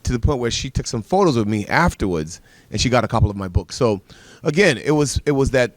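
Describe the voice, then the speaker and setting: A man's voice: mid-range voice, expressive, fast talker, dry recording. Earnest storytelling man on a dry mic. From Bahamas. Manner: earnest storytelling with emphatic repetition. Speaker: pastor and guest speaker. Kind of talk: TV panel discussion.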